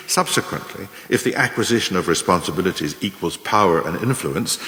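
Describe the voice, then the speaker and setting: An actor playing a shrewd, ruthless management mentor in a radio play, lecturing in formal, measured, emphatic lessons. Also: male voice, low-pitched, a bit bright. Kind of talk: scripted radio play monologue, delivered as a lecture in numbered lessons. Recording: echoey room with long reverb.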